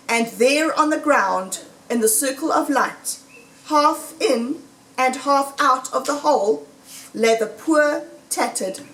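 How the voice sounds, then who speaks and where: A woman reading a children's story aloud in a deliberate, dramatic, suspenseful storytelling manner. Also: fairly high pitch, fairly bright tone, a little hiss or hum. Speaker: storybook reader. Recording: roomy echo, distant microphone.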